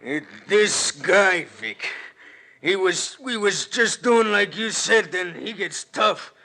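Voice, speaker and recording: Casting: subservient goon, high-pitched and slow, halting and flustered, vintage radio crime play. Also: male voice, animated, vocal fry, sharp tone, heavily acted. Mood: flustered, excuse-making. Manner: halting, ungrammatical. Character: dim, flustered male henchman, subservient thug. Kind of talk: 1940s radio crime drama acting.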